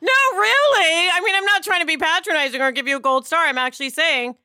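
A woman's voice: very high-pitched, fast, bright, forceful tone, no echo, studio quality. An American woman hosting a casual discussion, speaking emphatically and putting questions to her guests. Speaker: podcast host.

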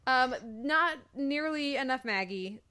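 A woman hosting a relaxed, conversational review of television, asking questions and sharing her own opinions. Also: high-pitched, takes it slowly. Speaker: podcast host. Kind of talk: casual TV review chat.

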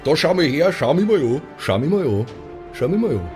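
A man's voice: a little high, very animated, brisk, music in the background. A man playing a belligerent, boastful one-eyed drunk with a Bavarian accent, blustering and repeating himself in an improvised audio game. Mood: angry heckling.

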